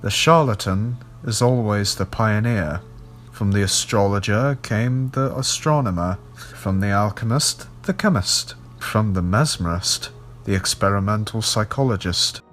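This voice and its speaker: A man voicing a learned, knowing gentleman collector in a horror story, lecturing formally and deliberately. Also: on the low side, soft background music. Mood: pedantic, assured.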